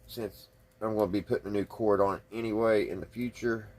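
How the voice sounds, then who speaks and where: A man with a slightly husky voice, talking casually and folksily through hands-on repair work, thinking aloud without hurry. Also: on the low side, fairly even intonation, darkish tone. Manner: folksy, unhurried, thinking aloud while working. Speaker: hobbyist radio restorer and video host. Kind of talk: casual hands-on workbench narration.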